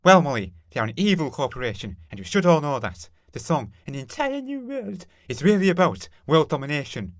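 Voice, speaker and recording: A man's voice: a little high, sing-song, a little fast, few pauses, dry recording. A man playing a suspicious, opinionated friend in an audio play, blunt and insistent.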